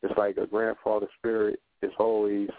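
A man talking in a relaxed, reflective way on a radio roundtable, often stopping to ask "you know what I'm saying". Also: lowish voice, moderate pace, no room sound. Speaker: radio show guest. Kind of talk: casual roundtable talk. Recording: phone-like narrow line.

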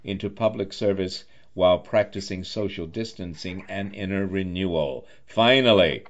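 A man's voice: deep voice, normal speed, highs cut off. A man interviewing as a radio host in a chatty style, with effusive, wordy and rather formal phrasing.

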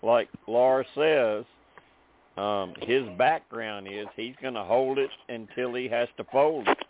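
A man hosting a casual podcast chat, agreeable and quick to laugh. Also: mid-range voice, slight background noise. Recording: phone-like call audio.

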